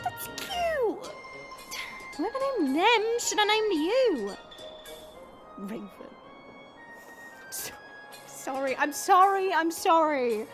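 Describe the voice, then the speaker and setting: A woman's voice: very high voice, sing-song, takes it slowly, long pauses, fairly bright tone, faint music behind, full character voice. A woman playing a smirking, sarcastic monster woman who chats and mocks in an audio drama. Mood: sarcastic, amused. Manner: sarcastic, mocking, chatty. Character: smirking, teasing monster woman, short and muscular.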